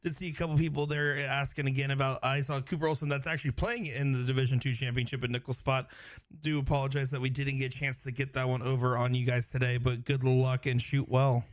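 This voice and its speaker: A man commentating a darts tournament, calmly explaining brackets and results. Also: mid-range voice, quick pace, no echo. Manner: steady, informative, fills in bracket details. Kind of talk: live sports commentary.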